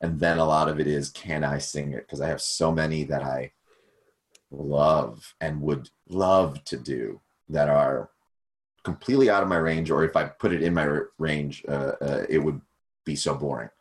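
A man's voice: rumbling low, normal speed. A young man chatting casually over a video call as a podcast guest, rambling and self-deprecating with lots of fillers. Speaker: podcast guest, cover singer.

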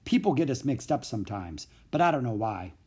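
A man voicing a small, irritable sidekick thief in a storybook, with a sharp, exclamatory delivery. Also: mid-range voice, very animated, brisk, breathy edge. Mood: chatty.

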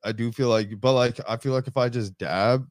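A man's voice: medium pitch, steady tone, a little fast, no room sound, clean studio sound. A man co-hosting a casual podcast, laid-back and slangy, often trailing off mid-thought. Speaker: podcast co-host. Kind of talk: casual chat.

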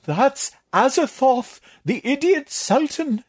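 Raspy monster librarian, very high, shrill cackle, sly, gloating, slow, dry audiobook. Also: male voice, very animated, no echo, exaggerated voice.

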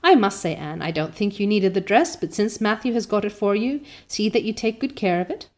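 A woman voicing a stern, brisk older farm woman who talks curtly, reading a storybook aloud. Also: mid-range voice, expressive, a little mellow, close to an everyday voice. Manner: curt and brisk.

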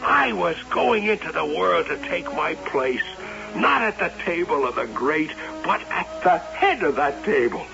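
An actor playing a desperate, obsessed puppeteer husband with theatrical intensity in an old-time radio drama. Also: male voice, a little high, big pitch swings, unhurried, creaky, over music, full character voice. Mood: proud, grandiose. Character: desperate, obsessed carnival puppeteer husband in love with his doll. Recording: dull, hissy vintage radio recording.

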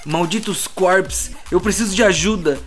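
A man with a Brazilian accent playing a flustered, foul-mouthed merchant stranded in wreckage, talking haltingly and agitatedly in an audio drama. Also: sits high, natural voice. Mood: desperate, afraid. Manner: agitated, halting, swearing, muttering to himself. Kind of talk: audio drama distress message monologue. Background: music.

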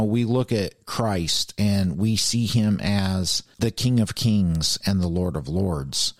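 Deep, earnest podcast host. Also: male voice, no room sound, clean studio sound. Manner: heartfelt, calls his guest "brother".

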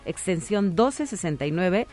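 A woman's voice: on the low side, lively intonation, moderate pace, darkish tone. A woman with a Mexican accent hosting a live radio programme, interviewing and making announcements. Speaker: radio host. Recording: music playing behind the voice. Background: music.

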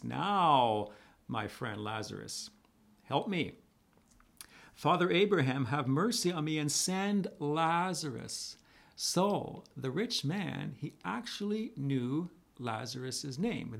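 A man with a raspy voice, casually teaching a gospel passage as a pastor, with chuckling asides. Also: fairly high pitch.

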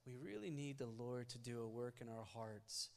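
Young male pastor, first language Malayalam, earnest and chatty, mid-pitched, reverb-heavy church hall. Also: moderate pace, gravelly. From United States. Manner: earnest, conversational, builds up energy while preaching. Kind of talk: sermon. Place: church sanctuary.

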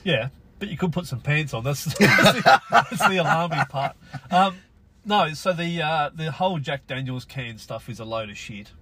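A man's voice: on the high side, expressive, normal speed, sharp tone, a little hiss or hum. An Australian man as a podcast guest, talking bluntly with a dry, sardonic humor. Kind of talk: casual conversation.

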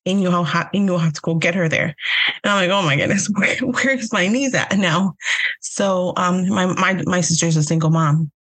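A woman's voice: mid-range voice, a bit bright, poor audio. A young adult woman from Honduras with a Honduran accent, a podcast guest chatting casually, hesitant and full of fillers, laughing often. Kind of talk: casual interview chat.

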